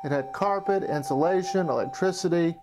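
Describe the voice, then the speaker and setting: A man in his forties narrates a retrospective documentary in the first person. His voice sits a little high for a man and reads from a script, with music clearly behind it. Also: brisk. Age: 40s. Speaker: narrator looking back on his amateur studio.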